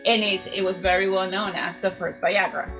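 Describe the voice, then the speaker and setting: A woman's voice: mid-range voice, steady tone, normal speed, music in the background, poor audio. A young woman from Ecuador, chatting casually as co-host and sharing fun facts with frequent laughs. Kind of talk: casual chat.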